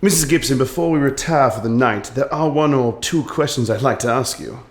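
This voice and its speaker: An actor playing a precise, measured detective in a radio drama. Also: male voice, mid-range voice, reverberant, lightly acted. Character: cool, precise English detective.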